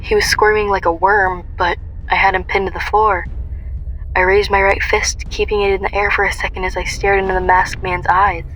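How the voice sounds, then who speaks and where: A woman's voice: medium pitch, flat delivery, moderate pace, fairly bright tone, slight background noise, natural voice, low-quality recording. A woman playing a young heroine who recounts her ordeal in intense first-person dramatic narration. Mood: tense intense.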